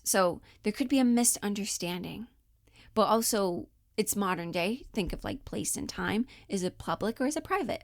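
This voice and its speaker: A woman in her twenties giving casual, informal acting instruction in an animated manner. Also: mid-range voice, no echo, studio quality. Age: 20s. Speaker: acting coach.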